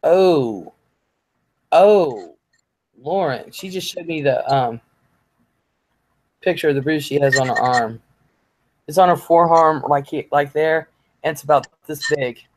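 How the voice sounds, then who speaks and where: A woman's voice: low voice, takes it slowly, long pauses, a little mellow, a little hiss or hum, rough recording. A woman hosting a livestream in casual chat, chatty and laughing, with many fillers and free swearing. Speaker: livestream host.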